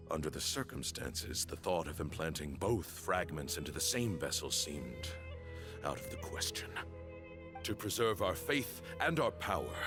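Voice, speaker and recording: A grave old temple leader. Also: male voice, low-pitched. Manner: grave, measured, formal. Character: aging male temple leader, solemn, guilt-laden. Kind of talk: video game cutscene acting.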